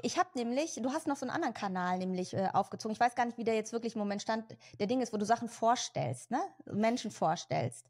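Higher-voiced German woman hosting a podcast. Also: lively intonation, quick pace, few pauses, a little mellow. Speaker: podcast host. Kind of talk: casual interview talk. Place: home studio, indoors. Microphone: desk microphone on video call.

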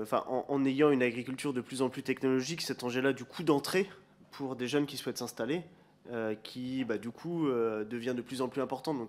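A man in his thirties, a farmer testifying before a parliamentary committee, speaking hesitantly with frequent fillers into a desk microphone. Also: medium pitch. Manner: hesitant, lots of fillers and restarts. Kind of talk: spoken testimony. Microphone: desk gooseneck microphone.

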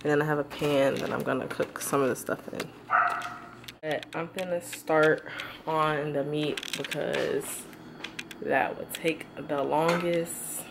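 A woman's voice: sits low, fairly even intonation, unhurried, gravelly. A woman in her twenties casually narrating her cooking in a small home kitchen, rambling with many ums, filmed on a handheld camera. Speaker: vlogger. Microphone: handheld camera, close and moving. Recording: noisy kitchen with frying sounds.

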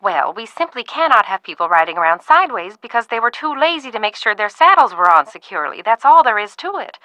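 An actress playing a fussy older aunt who runs a ranch in a video game, genteel and stern, often calling people dear. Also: female voice, a little high, big pitch swings, fast talker, pushed and bright, no echo, rough recording. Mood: prim scolding. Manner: prim, genteel scolding with frequent "dear".

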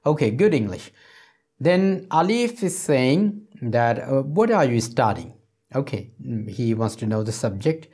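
Middle-aged male English teacher, mid-range pitch, explains and repeats phrases for learners. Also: animated, measured pace, darkish tone, breathy edge. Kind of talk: explanatory spoken English lesson. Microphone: lapel microphone.

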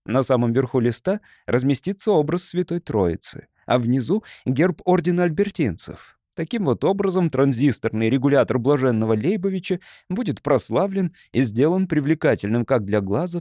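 A man's voice: mid-range voice, mellow, no room sound, studio quality. A man narrating a literary audiobook from a script.

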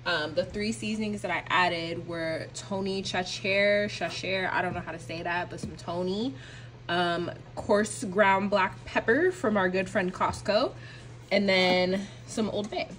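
A woman's voice: mid-range voice, a little slow, a bit bright. A young woman in her twenties casually talking through a cooking vlog at home into a phone camera, rambling and self-mocking with lots of fillers. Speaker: cooking vlogger. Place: small home kitchen and apartment room.

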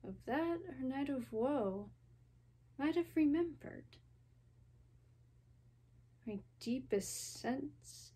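A young woman reciting classical verse as a dramatic performance, deliberate and theatrical, with weighty pauses. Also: sits high, expressive, dark tone, slightly rough. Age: young adult. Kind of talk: dramatic recitation of a Shakespeare sonnet. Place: small home room, indoors.

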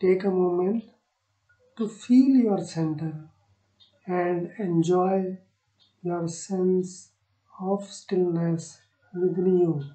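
A woman guiding a meditation in short, soothing phrases with long pauses between them. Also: on the low side, steady tone, warm tone. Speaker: meditation guide. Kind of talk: guided meditation narration. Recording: roomy echo on the voice.

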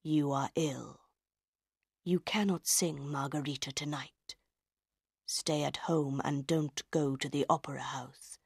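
A woman's voice: fairly even intonation, slow, creaky, breathy edge, no echo, studio quality, exaggerated voice. A woman putting on a whispering male opera ghost. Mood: menacing. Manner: low, hushed and sinister. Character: menacing male opera ghost, hidden and threatening.

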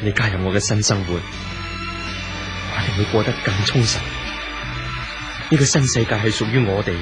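A young, idealistic romantic hero played by an actor in an old Hong Kong Cantonese radio drama. He speaks in a fairly low voice, solemn and declamatory, with clear music underneath. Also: normal speed, close to an everyday voice. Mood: tender, hopeful. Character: earnest young romantic man, idealistic and brooding.

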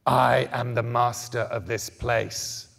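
A man voicing an ancient, fierce dead guardian of a tomb in a slow guttural growl, as in a storybook reading. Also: mid-range voice, steady tone, gravelly, fairly bright tone, full character voice. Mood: fierce, menacing. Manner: slow, commanding. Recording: echoing hall through a PA.

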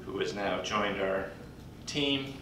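An older man speaking at a podium in a large conference hall, introducing a colleague in a folksy, halting way with pauses. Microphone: podium mic, recorded from afar by a computer. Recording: distant echoey hall recording with background rumble.

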